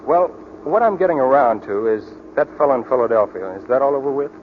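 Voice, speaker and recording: A muffled, faintly noisy old radio recording carries a man acting a young doctor in love. He talks very quickly, with a brisk, earnest manner in 1940s drama style. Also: medium pitch, animated, natural voice. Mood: brisk, intent.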